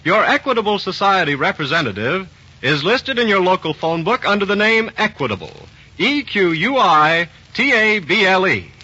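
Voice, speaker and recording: An American man working as a radio announcer, delivering a polished, emphatic 1940s sponsor pitch. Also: very animated, normal speed, sharp tone, breathy edge. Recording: dull, band-limited 1940s radio broadcast. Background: music.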